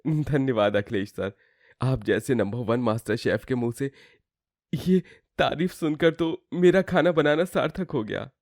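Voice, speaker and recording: A man's voice: medium pitch, unhurried, darkish tone, no echo, studio quality, lightly acted. A man playing a humble, respectful village cook and devoted brother in a dramatized moral story. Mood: grateful.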